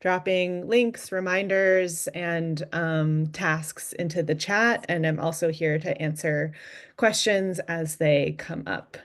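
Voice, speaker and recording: A woman's voice: low-pitched, fairly even intonation, slow. A woman who is a PhD student introduces herself on a video call, speaking hesitantly with many ums. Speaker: PhD student, workshop helper.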